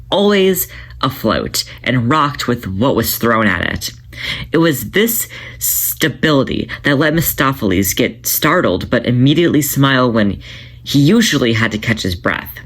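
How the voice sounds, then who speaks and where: A man reads his own fan fiction aloud in a steady, measured way. His voice sits at an ordinary middle pitch, with a plain homemade audiobook feel. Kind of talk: podfic story narration.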